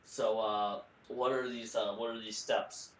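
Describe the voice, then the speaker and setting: A man making a prank call in a halting, awkward, deadpan and naive-sounding conversational manner. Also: on the low side. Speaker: prank caller. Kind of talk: casual improvised phone conversation. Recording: roomy echo with faint background noise.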